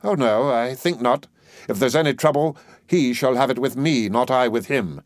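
A man voicing an imperious, excitable professor in a play reading, declamatory and overbearing. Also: medium pitch, moderate pace, dry recording, clean studio sound, voice pushed up, close to an everyday voice. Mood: breezy. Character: imperious, excitable male professor, scornful and bossy.

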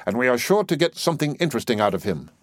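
A man voicing an imperious, excitable professor in a play reading, declamatory and overbearing. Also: mid-range voice, racing, dry recording, pitched above the performer's own voice. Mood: breezy. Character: imperious, excitable male professor, scornful and bossy.

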